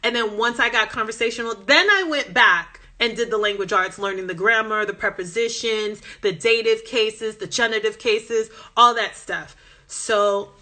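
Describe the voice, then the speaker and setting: Woman, Southern US accent, animated casual chat, clear forceful tone, phone live stream. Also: fairly high pitch, moderate pace. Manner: animated, passionate, Southern American vernacular. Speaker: language-learning Instagram host.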